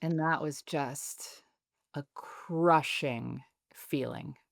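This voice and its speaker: Calm, low-voiced woman author. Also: gravelly, dry recording, studio quality. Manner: calm, soothing, measured. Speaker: podcast guest, author. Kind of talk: reflective interview talk.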